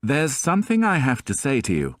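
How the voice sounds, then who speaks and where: An earnest young man, plain and direct, a touch higher than the reader's own low voice, as a male narrator plays him. His pitch moves freely. Recorded dry. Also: huge pitch swings, a little fast, no room sound.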